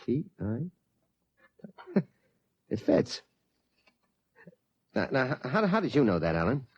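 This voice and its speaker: A man playing a genial, indulgent middle-aged father in 1950s radio drama style, hearty and folksy, with a husky voice. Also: medium pitch, wildly swooping pitch, slow pace, no room sound, muffled recording, heavily acted. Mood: musing.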